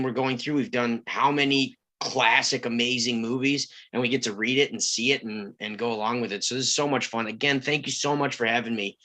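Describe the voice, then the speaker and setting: Chatty man with a bright voice. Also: medium pitch. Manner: chatty, effusive. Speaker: volunteer voice actor at table read. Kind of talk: casual self-introduction.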